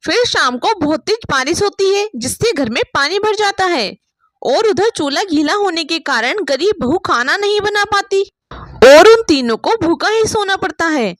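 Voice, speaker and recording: Female storyteller, very high pitch, scripted moral-tale narration, dry close sound. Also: expressive, normal speed, no room sound.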